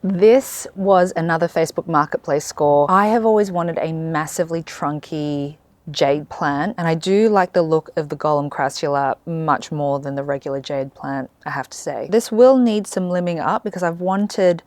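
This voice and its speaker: A woman chatting casually in her garden for a vlog, her voice a little croaky from just waking up. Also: sits low, normal speed, dry recording. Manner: chatty, relaxed, thinking aloud. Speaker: gardening vlogger. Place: outdoor garden.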